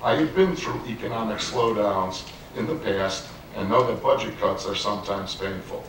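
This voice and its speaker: A man delivers a measured, businesslike candidate statement at a public forum. He sounds set back from the mic, with heavy echo from the hall and faint room noise behind him. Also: lowish voice, fairly bright tone, recorded from a distance. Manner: measured, businesslike, reads from prepared text. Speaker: village trustee candidate. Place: community meeting room with an audience. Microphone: handheld microphone.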